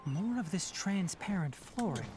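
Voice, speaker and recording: A man voicing a strict but caring young male forest ranger in a game, talking in a calm, explanatory way. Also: high voice, a little slow, music in the background. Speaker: game character. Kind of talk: game dialogue.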